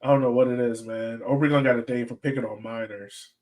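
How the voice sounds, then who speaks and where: A man's voice: medium pitch, steady tone, fairly quick, darkish tone. A man hosting a fan podcast, chatting casually about soccer in a rambling, colloquial, conversational way. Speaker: podcast host.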